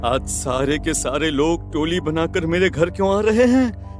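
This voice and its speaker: A man playing an unhinged, ominous village farmer in an animated horror story, with a ranting, dramatic delivery. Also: on the high side, a little slow, soft background music, lightly acted. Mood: ominous, angry.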